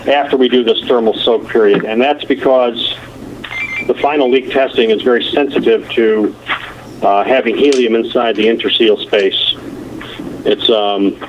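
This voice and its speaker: A man giving a halting technical explanation as an engineer presenting on a telephone conference call. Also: mid-range voice, steady tone. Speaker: nuclear plant engineer presenting. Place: conference call over the phone. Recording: muffled, noisy telephone conference line.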